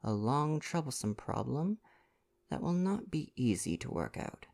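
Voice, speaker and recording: A man plays a little storybook detective of great gravity. He speaks in slow, deliberate, measured phrases, with a slightly gravelly creak and expressive intonation. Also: mid-range voice. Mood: grave foreboding.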